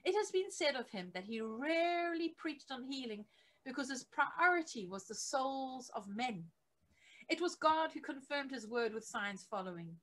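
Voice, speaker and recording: High-voiced middle-aged woman reading aloud. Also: expressive, moderate pace, fairly bright tone. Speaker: reader of a church history book. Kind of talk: scripted narration, reading aloud from a biography. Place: attic home office, indoors.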